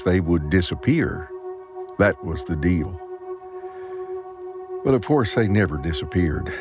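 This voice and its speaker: Old farmer, low voice, weary, regretful, grave plain-spoken storytelling, audio drama monologue, faint music behind. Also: male voice, darkish tone. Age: older.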